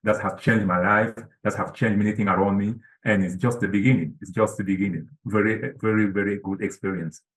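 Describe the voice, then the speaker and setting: A man's voice: fairly low pitch, steady tone, fairly quick, hardly any pauses, reverberant, low-quality recording. A man from Cameroon, a guest author, talking earnestly over a video call with lots of fillers and repeats. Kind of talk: casual interview talk.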